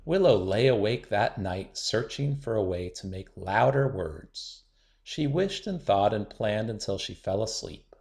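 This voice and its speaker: A man reading a children's picture book aloud in a gentle, expressive storytelling manner. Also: lowish voice, unhurried, gravelly. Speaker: storybook reader.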